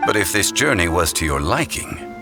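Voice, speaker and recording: An ancient male deity living in human guise in a fantasy video game. His voice is deep, his phrasing formal and deliberate. He carries himself with calm dignity and quiet reflection, with music clearly playing behind him. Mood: wistful, calm. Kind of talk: scripted video game dialogue.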